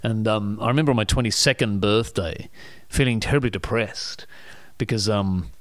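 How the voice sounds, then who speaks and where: A man's voice: fairly low pitch, moderate pace, fairly bright tone, no room sound, clean studio sound. A middle-aged man telling stories in casual conversation, self-deprecating and laughing often, with many restarts.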